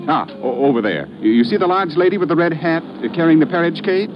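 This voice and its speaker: A man's voice: a little high, expressive, quick pace, music in the background, close to an everyday voice. A man doing a swooping, flustered, polite clerk. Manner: hesitant, stammering, deferential. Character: polite, fussy, flustered male airport desk clerk. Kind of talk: 1950s radio acting. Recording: dull, noisy old radio recording.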